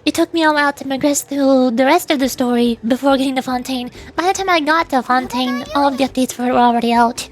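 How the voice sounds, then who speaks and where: A woman streamer giving casual, chatty and playful commentary while playing a video game. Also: high-pitched. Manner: playful, chatty, with silly sound effects. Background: game music.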